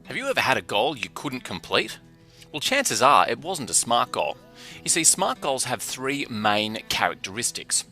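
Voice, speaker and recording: A man under fifty teaching a lesson in a lively, conversational way, using his own examples. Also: mid-range voice. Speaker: instructor. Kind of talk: explaining a lesson.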